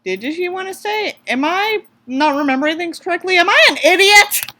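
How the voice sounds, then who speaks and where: A woman's voice: extremely high pitch, lively intonation, moderate pace, noisy background. A woman from the United States doing a mocking dramatic reading, theatrical, with sarcastic asides. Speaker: reader and commentator.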